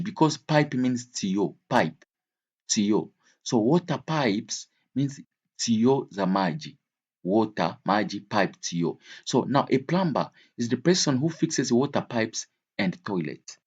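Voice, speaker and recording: A man gives a vocabulary lesson in a somewhat husky, mid-pitched voice. He explains terms with simple examples and repeats key words. The recording sounds slightly dull and lo-fi. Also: a little mellow. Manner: didactic, repeats key words slowly and clearly; switches between English, Swahili and French. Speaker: English teacher.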